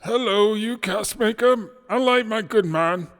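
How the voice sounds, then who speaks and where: Casting: a fleshy, haughty, demanding storybook drunkard, slow drawl, voice pushed high, cavernous reverb. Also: male voice, fairly bright tone. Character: fleshy, beer-flushed, gluttonous boorish male customer. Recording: long reverberant hall effect.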